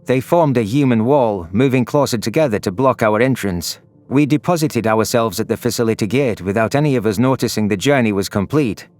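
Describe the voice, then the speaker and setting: A man's voice: mid-range voice, brisk, hardly any pauses, a little mellow. A man reading scripted, dramatic narration for a science fiction audio story. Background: background music.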